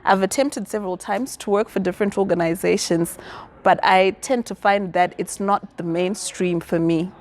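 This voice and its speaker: A woman's voice: mid-range voice, fairly even intonation, moderate pace, a little mellow. A confident, articulate woman from Zimbabwe, answering interview questions about business and activism. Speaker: guest.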